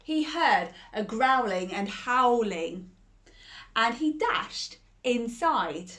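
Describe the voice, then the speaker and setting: A woman reading a bedtime fairy tale aloud and chatting gently with young listeners between lines. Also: on the high side, animated, drawn-out words. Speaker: storyteller.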